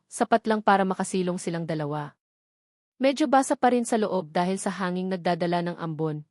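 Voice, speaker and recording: A woman's voice: on the low side, a little mellow, dry recording, clean studio sound. A woman narrating a romance story as a dramatic, scripted audiobook.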